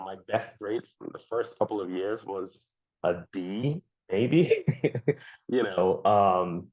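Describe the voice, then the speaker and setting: A man with a Nigerian accent, a guest answering interview questions in a halting way, with many fillers and repeated words. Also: lowish voice. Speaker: guest speaker. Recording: dull lo-fi sound.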